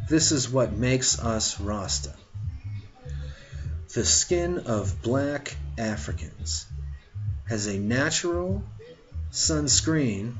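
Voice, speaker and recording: Male reader for religious principles: unhurried, deliberate short phrases, mid-pitched, on a muffled lo-fi track. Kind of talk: slow read-aloud of religious teachings with brief asides. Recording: dull lo-fi, faint music behind.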